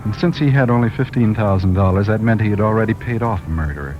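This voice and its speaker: A man's voice: on the low side, fast talker, natural voice. An actor playing a guilty, paranoid schemer in a vintage radio noir, brooding and hesitant as he confesses in tense, dramatic phrases. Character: guilty, paranoid male schemer haunted by murder plot. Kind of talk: 1940s-50s radio noir, first-person confessional narration. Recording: muffled old radio recording, rain noise.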